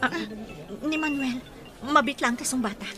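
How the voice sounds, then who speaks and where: A woman's voice: sits high, normal speed, music in the background, lightly acted. A woman playing a sensitive young woman in a Filipino audio drama. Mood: worried. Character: sensitive young woman, loyal friend, easily hurt in love.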